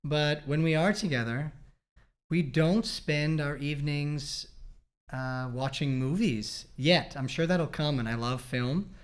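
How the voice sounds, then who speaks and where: A man in his thirties from Canada, hosting a casual relationship chat in a relaxed, rambling way with joking asides. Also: on the high side, slow. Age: 30s. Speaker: podcast host.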